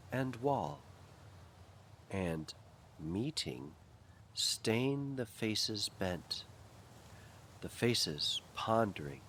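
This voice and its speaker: Very slow, low-pitched man reading dramatically. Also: slight background noise. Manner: hushed, deliberate, with pauses between short phrases. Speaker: narrator reading a classic ghost story.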